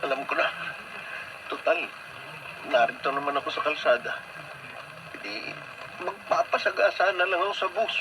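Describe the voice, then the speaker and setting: A man's voice: fairly high pitch, animated, normal speed, pauses often. An actor playing a petulant, childish young man in a radio drama, whiny and melodramatic. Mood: despairing, scheming. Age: young adult. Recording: noisy radio drama with clear background noise.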